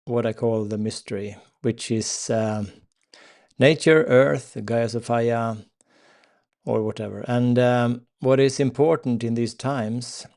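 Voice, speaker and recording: Slow, hesitant Swedish-accented man. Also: medium pitch, fairly even intonation, a little mellow. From Sweden. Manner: slow, hesitant, many fillers and pauses. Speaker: spiritual live-stream host. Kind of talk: unscripted spiritual talk.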